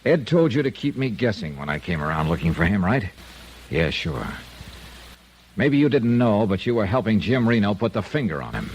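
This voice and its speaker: Low-voiced hard-boiled American detective. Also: male voice, sing-song, normal speed, slight background noise. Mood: cool, hard. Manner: clipped, terse, world-weary. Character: tough, cool insurance investigator, male, hard-boiled. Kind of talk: 1950s detective radio drama acting with first-person narration. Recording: vintage radio drama recording.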